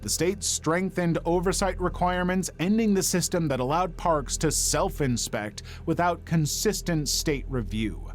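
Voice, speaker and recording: A man's voice: on the high side, unhurried, few pauses. A man reading a scripted true-story narration in a dramatic storytelling manner. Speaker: narrator of video documentary. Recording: music under the voice. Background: background music.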